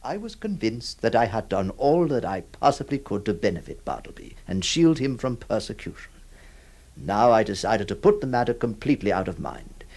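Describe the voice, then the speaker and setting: An actor playing a troubled, kindly lawyer who narrates and stammers with many hesitations. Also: male voice, fairly low pitch, sing-song, moderate pace, vocal fry, a little mellow, heavily acted. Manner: hesitant, stammering, full of uh's. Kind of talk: old radio drama acting.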